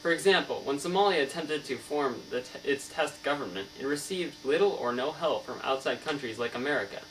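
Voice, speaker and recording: An earnest, learned novelist, acted by a man, answers interview questions in formal, recited sentences. He trips over the odd word. The voice sits at a middling pitch, recorded away from the mic with mains hum underneath. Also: animated, a little fast, fairly bright tone, recorded from a distance, natural voice, rough recording. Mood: earnest, explanatory. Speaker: student playing an interviewed author. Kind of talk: scripted mock interview answers.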